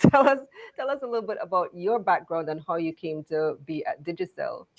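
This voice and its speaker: Jamaican lady host, lowish voice, chatting through a live show. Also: sing-song, normal speed. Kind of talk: conversational live show hosting.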